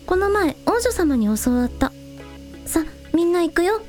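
An earnest little sister, acted by a woman, speaks in a very high, youthful voice. She is urgent and decisive, in radio drama dialogue with light music underneath. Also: lively intonation, measured pace, a little mellow. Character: earnest, decisive young girl, the younger sister.

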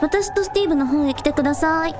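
An earnest young helper acted by a woman speaks in a high voice. The delivery is practical radio drama acting, with music clearly behind it. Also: fast talker, close to an everyday voice. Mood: practical, polite.

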